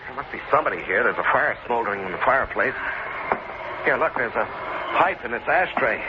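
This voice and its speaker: Grumbling husband played by a man, animated. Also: mid-range voice, a little fast, natural voice. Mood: uneasy. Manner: sarcastic, hesitant. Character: henpecked, grumbling, cautious husband in a radio thriller. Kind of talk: old-time radio drama acting. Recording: muffled, very noisy old radio recording.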